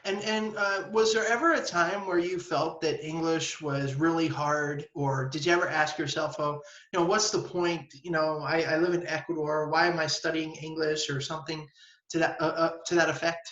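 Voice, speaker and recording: A man's voice: a little high, fairly even intonation, moderate pace, fairly bright tone. Guy hosting a casual interview, informal, echoey room, kinda dull lo-fi audio. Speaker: host, interviewer.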